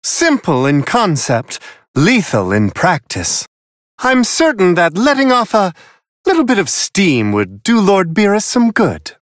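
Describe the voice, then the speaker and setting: A man voicing a serene, refined angel attendant who gives polite, flowery, amused fight commentary in a video game, in a high, airy voice. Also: wildly swooping pitch, measured pace, no echo, clean studio sound, heavily acted. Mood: politely amused. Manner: polite, flowery, genteel phrasing.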